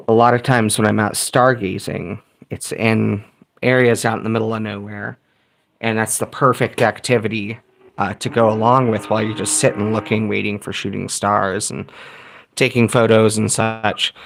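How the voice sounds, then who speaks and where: Casual male streamer, gravelly voice, laid-back rambling delivery. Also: fairly low pitch. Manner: relaxed, rambling, thinking aloud with fillers. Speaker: streamer tuning shortwave radio. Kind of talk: casual live chat reading viewer comments.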